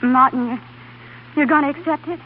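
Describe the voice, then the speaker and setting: A woman playing a tender, anxious, excitable young wife in a 1930s radio drama, breathless and emotional. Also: high-pitched, brisk, close to an everyday voice. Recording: tinny, noisy 1930s radio recording.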